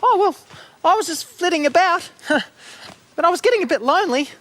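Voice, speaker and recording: A tiny chirpy oxpecker bird. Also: female voice, expressive, a little fast. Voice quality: very high voice. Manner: bright, chirpy and friendly. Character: small lonely cheerful oxpecker bird. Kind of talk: children's TV acting.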